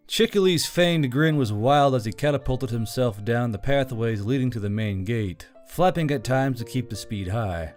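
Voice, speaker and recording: A male narrator reads prose fiction as an audiobook. His voice sits at a medium pitch, with background music under the reading. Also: a little mellow.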